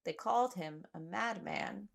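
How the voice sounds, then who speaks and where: An actress playing a troubled young castaway woman, telling her story earnestly and with measured care in an audio drama. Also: lowish voice, gravelly, exaggerated voice. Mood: wounded, reflective. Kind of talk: dramatic first-person audio drama narration.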